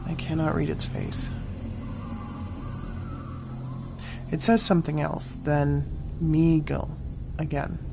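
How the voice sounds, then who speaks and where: An actor playing a wary, stern great-cat hunter, narrating in the first person in grave, clipped, deliberate phrases for an audio drama. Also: male voice, fairly high pitch, flat delivery, gravelly. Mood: guarded, puzzled. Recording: music under the voice. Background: dramatic music.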